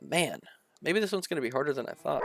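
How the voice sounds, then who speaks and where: A young man with a stuffy nose chatting casually through a gameplay session, reading the game's text aloud and thinking out loud with lots of fillers. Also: fairly high pitch, sing-song, quick pace, creaky, soft background music. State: stuffy from allergies. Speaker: gaming video creator. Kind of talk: casual let's-play commentary, reading game text aloud.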